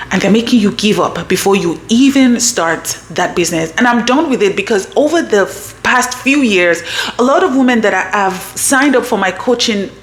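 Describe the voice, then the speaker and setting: Emphatic woman coaching to camera. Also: mid-range voice. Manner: emphatic, repeats "okay?" for emphasis. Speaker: business coach and channel host. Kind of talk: motivational talk to camera.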